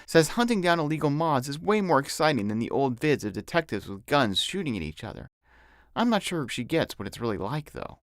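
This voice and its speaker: Guy doing a kind 12 yr old boy in an audiobook, casual and a bit hesitant, lifted pitch, lively. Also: a little fast, no room sound, clean studio sound. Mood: casual, matter-of-fact. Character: twelve-year-old boy, kind, thoughtful son.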